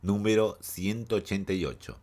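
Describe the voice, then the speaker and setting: A man with a very low voice hosts a casual gaming news podcast while fighting a head cold. His nose is stuffy, and he reads each story with chatty asides and frequent hesitations. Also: expressive, moderate pace, a little mellow. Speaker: podcast host.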